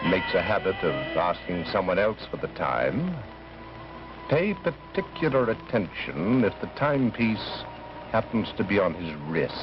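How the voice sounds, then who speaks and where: An actor with an American accent playing an ominous horror host, sinister and deliberate, in old-time radio style. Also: male voice, deep voice, sing-song. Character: ominous male horror host warning the listener. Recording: muffled old radio recording. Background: loud music.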